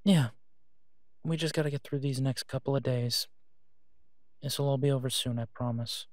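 Male actor as tired werewolf mate, mid-pitched, halting, reassuring, devoted, intimate audio roleplay, dry sound. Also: fairly even intonation, no echo, natural voice. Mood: reassuring, casual. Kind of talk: intimate roleplay audio drama, one side of a phone call.

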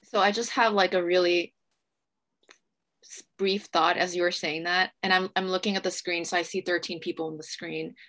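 A young woman moderates a casual live online talk from home. Her voice is very bright and sits a little low. She feels her way through sentences, hesitant and self-effacing. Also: steady tone, normal speed. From Hong Kong. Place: small room at home. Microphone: video call.

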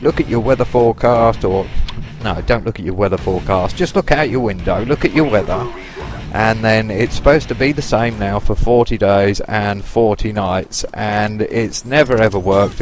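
A man in his late forties with a Lancashire accent, chatting casually and rambling cheekily over his own video game footage. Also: on the low side. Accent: Lancashire English. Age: 40s. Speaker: gaming YouTuber. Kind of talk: casual gameplay commentary.

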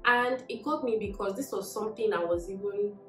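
A woman's voice: medium pitch, fairly quick, faint music behind. Upbeat young woman vlogging in a roomy space. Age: young adult. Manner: upbeat, encouraging, gestures while talking. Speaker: tech vlogger. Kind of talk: casual storytelling to camera. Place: indoor living room.

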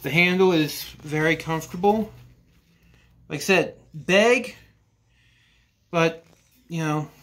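A man in his forties reviewing hand saws in a cluttered workshop, talking slowly and rambling with restarts. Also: a little high, a bit bright. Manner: slow, rambling, with frequent restarts and "you know". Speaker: woodworking channel host. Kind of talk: casual workshop tool review. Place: cluttered indoor workshop or basement.

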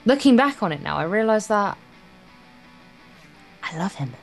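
Lively woman reading a game story aloud. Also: mid-range voice, measured pace, faint music behind. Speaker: let's play co-host reading visual novel text aloud. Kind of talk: story read-aloud with playful banter.

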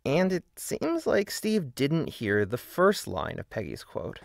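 A man's voice: mid-range voice, wildly swooping pitch, slow, gravelly, slight background noise. A man delivering scripted video-essay narration with casual asides, in an opinionated, emphatic and wry manner.

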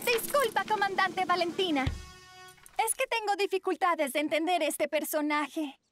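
Earnest teen schoolgirl, very high, expressive cartoon read, faint music behind. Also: measured pace. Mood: flustered. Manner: expressive, girlish. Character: earnest teenage schoolgirl, curious and a little flustered. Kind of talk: cartoon voice acting.

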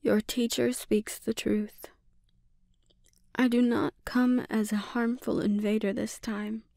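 A woman playing a menacing, seductive sorceress and obsessive mother in a breathy, often whispered ASMR roleplay, speaking deliberately and with quiet threat. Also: on the high side, level tone, dry recording, clean studio sound. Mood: coolly threatening.

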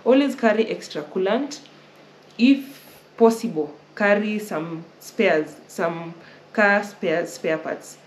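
A woman's voice: medium pitch, slow. A young Kenyan woman hosting a casual Q&A, giving travel advice and often rephrasing as she explains. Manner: repeats and rephrases while explaining. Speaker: travel vlogger host. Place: indoor living room, on a sofa. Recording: roomy with faint noise.

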